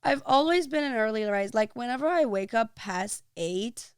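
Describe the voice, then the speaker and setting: A woman's voice: on the high side, animated, normal speed, clear, resonant tone, no echo, clean studio sound. A woman hosting a podcast, talking earnestly and chattily, full of "like"s. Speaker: podcast host. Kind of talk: casual chat.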